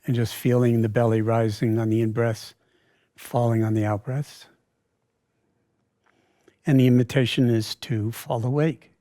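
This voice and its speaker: Male meditation teacher for guided practice: relaxed, dark timbre, calm and very unhurried delivery with pauses mid-phrase. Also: mid-range voice, no echo, studio quality.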